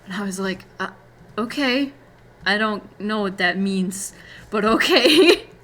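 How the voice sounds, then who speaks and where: A woman's voice: mid-range voice, animated, takes it slowly, a bit bright. An American woman from Florida, a young adult, chatting casually as a streamer in a rambling, giggly way. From Florida, USA. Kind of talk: casual chat.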